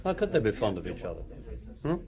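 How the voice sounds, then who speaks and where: An aloof man of leisure who answers questions curtly with dry mockery. His voice sits fairly low. This is a radio drama. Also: very animated, fast talker, darkish tone. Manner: curt, sardonic, evasive.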